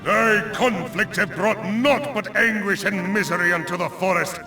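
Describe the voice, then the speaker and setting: An actor playing an ancient, stern thunder god and forest guardian in a video game, declaiming gravely in archaic, theatrical speech. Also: male voice, sits high. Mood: wrathful, condemning. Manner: grave, pronouncing, judgmental, deliberate. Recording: roomy, distant echoing voice under loud music.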